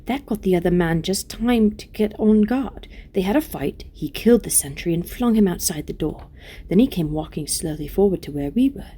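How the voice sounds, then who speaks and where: A woman voicing a tender-hearted young girl queen in a storybook reading, pleading earnestly and gently. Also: on the low side, lively intonation, normal speed, breathy edge.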